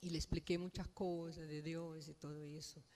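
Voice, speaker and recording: A woman with a Brazilian Portuguese accent, a native Portuguese speaker, giving a halting, unscripted personal speech at a funeral, searching for words. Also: low-pitched, little pitch movement, darkish tone. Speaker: mourner giving a family eulogy.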